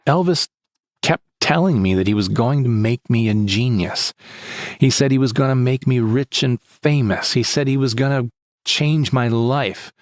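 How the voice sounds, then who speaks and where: A man's voice: mid-range voice, unhurried, no room sound, clean studio sound, faint music behind, lightly acted. A man playing a frightened, bitter victim who recounts his ordeal in plain, short sentences for an audio drama monologue. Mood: distressed. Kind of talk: first-person scripted audio drama monologue.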